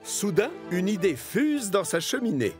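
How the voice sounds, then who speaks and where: A man's voice: sits high, sing-song, a little slow. A man reading scripted narration for a children's cartoon. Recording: clear music bed under voice.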